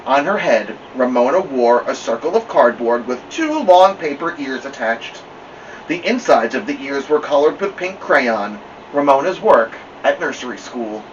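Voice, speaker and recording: A man reading a children's story aloud with expressive narration. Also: medium pitch, unhurried. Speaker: storybook reader. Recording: distant mic, slightly dull, faint noise.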